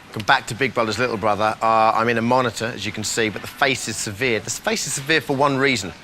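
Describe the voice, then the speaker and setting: A male TV presenter of medium pitch, talking in a deadpan, mock-serious tone full of jokes. Music plays clearly under him. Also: fast talker. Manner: deadpan, jokey.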